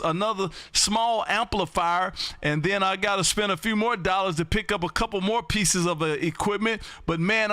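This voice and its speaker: A man from Texas running a ham radio live stream from his home radio room, talking loudly and excitedly in a folksy way. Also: sits high, no echo, studio quality. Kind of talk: ham radio contact chat.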